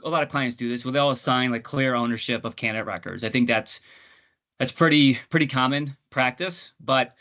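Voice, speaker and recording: A man's voice: medium pitch, brisk, a bit bright. A man on a webinar panel giving conversational business advice in an informal, explanatory way. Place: online webinar call.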